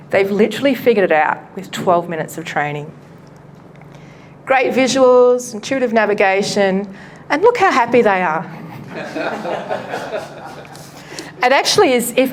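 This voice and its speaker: A woman pastor giving a live presentation to an audience at a launch event, loud and chatty, often laughing and poking fun at herself, her microphone cutting in and out. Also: medium pitch, a little hiss or hum. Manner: loud, chatty and self-mocking; laughs often while talking. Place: event venue.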